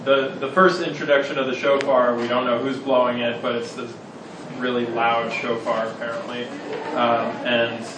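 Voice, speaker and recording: A man speaking in a class discussion, hesitant and halting. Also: medium pitch, little pitch movement. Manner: hesitant, with many ums and restarts. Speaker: student in a class. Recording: distant mic in an echoey room, loud noise.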